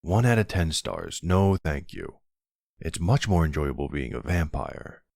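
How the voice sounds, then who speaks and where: A man's voice: very deep voice, sing-song, a little slow, creaky, darkish tone, dry recording, studio quality, heavily acted. A man playing a flirty, smug vampire in an intimate ASMR roleplay, with a teasing, sardonic and seductive manner. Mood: playful, smug. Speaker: audio roleplay voice actor.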